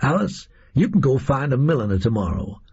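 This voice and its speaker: A man voicing a menacing brigand leader who gives brisk orders in an audiobook story. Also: sing-song, darkish tone, breathy edge, heavily acted. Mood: commanding, smug. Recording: dull, muffled low-bandwidth audio.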